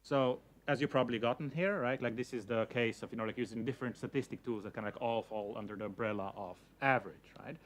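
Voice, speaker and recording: A man's voice: mid-range voice, fast talker, few pauses, a little hiss or hum. A young man whose first language is Slovenian gives a casual talk to an audience. He hedges a lot and fills his sentences with "you know, like.". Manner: hedging, many fillers like "you know, like". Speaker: speaker giving a talk.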